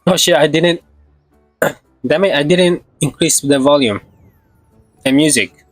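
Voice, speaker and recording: A man's voice: a little high, measured pace, no echo. Rambling male game streamer over background music. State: slightly sore throat. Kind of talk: casual live gameplay commentary.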